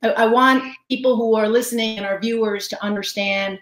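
A woman's voice: a little high, steady tone, brisk. Female talk-show host, middle-aged, effusive, on a webcam in an echoey room. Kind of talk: interview host introducing a guest. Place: home study with bookshelves. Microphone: video call webcam.

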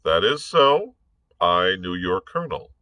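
A man playing a cool, witty physician who never loses his composure. He speaks slowly and wryly, his bright tone carrying a lilt. The sound is close and dry. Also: sits low, very animated, dry recording, exaggerated voice. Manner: wry, composed.

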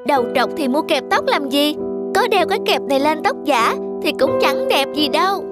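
A woman's voice: high-pitched, big pitch swings, moderate pace. A woman voicing a spoiled, haughty little girl with a taunting, childlike manner in a cartoon, music behind. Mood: mocking. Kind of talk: cartoon voice acting.

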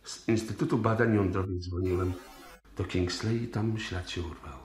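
An actor playing a puzzled, excitable police inspector in a 1960s radio drama. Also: male voice, deep voice, moderate pace, lightly acted. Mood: baffled. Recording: roomy, noisy vintage radio recording.

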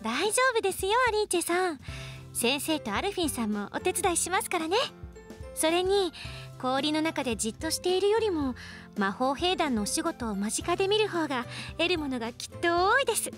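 High-voiced, kindly magic teacher. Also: female voice, big pitch swings, fairly quick, faint music behind, heavily acted. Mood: reassuring. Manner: polite, gently instructive. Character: kind, devoted young female magic teacher. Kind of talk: anime-style radio drama acting.

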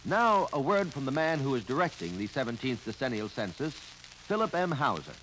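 An American man narrating a 1950 instructional film in a clear, instructive manner that addresses the listener directly. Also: medium pitch, moderate pace. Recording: noisy 1950 filmstrip soundtrack, steady crackle.

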